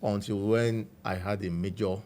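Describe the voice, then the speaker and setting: A man with a Nigerian accent, a guest recounting his life story in a measured, reflective way. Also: on the low side, steady tone, a little hiss or hum. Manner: measured, warm storytelling with frequent "you know".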